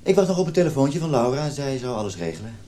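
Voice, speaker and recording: Radio play casting: male actor as impatient, evasive boyfriend, quick delivery with big pitch swings, domineering. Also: big pitch swings, mellow, close to an everyday voice. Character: evasive, impatient lover who dislikes pressure. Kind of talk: radio drama acting.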